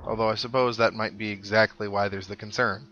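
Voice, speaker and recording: A man's voice: on the low side, steady tone, a little fast, highs cut off. A man hosting a video game playthrough with casual commentary and wry, joking asides. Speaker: video game let's player, host. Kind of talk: casual game commentary, reading dialogue aloud. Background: video game music.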